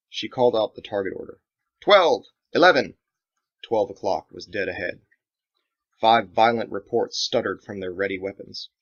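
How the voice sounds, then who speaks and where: Gravelly, low-toned man narrating. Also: highs cut off. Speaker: author reading own novel. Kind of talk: scripted audiobook narration, dramatic action story.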